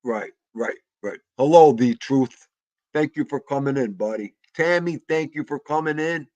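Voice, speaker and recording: A man's voice: medium pitch, moderate pace, darkish tone, dry recording, muffled recording. A man hosting a casual livestream chat, greeting viewers and laughing often. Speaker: livestream host.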